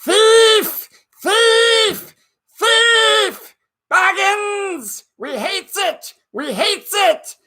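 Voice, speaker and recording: Hateful little cave creature for a storybook, screechy super high voice, shrieking and menacing. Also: male voice, animated, bright, forceful tone, heavily acted. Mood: hateful, despairing. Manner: shrieking, drawn-out repeated cries.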